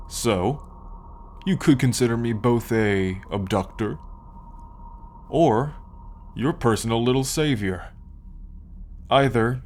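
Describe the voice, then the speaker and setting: A man playing a smug, possessive alien captor in an ASMR roleplay, speaking in a condescending, taunting and menacing drawn-out way. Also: mid-range voice, darkish tone, slight background noise, lightly acted. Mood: smug, gloating. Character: smug, possessive male alien abductor and collector. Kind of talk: ASMR roleplay, slow dramatic monologue.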